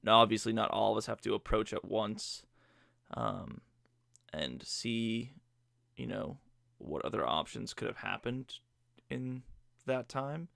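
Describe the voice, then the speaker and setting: A man playing a tabletop game over a video call, planning the next move in a low-key way and thinking aloud. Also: lively intonation, slow pace, creaky, long pauses, fairly bright tone, dry recording, clean studio sound. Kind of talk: casual planning chat between players.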